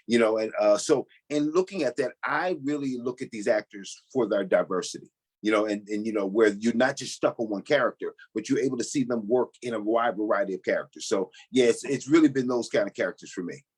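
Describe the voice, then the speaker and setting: Male interview guest, quick and husky, mid pitch, explaining expansively in conversation. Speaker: stage actor, interview guest.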